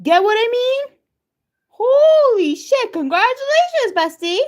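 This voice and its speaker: A woman from Turkey with a Turkish accent chats on her live stream. Her voice sits very high, and her pitch swings a lot. She talks slowly and stays excitable. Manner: excitable, greets chat viewers by name. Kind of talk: casual live-stream chat.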